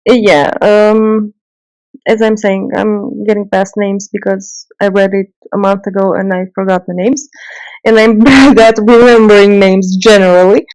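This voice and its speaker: A woman's voice: mid-range voice, fairly even intonation, a little slow, darkish tone, clear, resonant tone, dry recording, low-quality recording. Woman, podcast guest, casual, hesitant fillers, dry close sound. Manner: hesitant, fillers like "um" and "you know".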